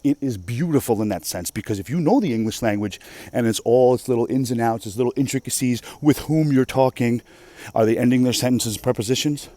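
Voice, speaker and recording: Mellow-voiced man ranting, Russian native speaker. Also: mid-range voice, brisk, no echo. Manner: emphatic, profane, rambling. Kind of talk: casual rant monologue.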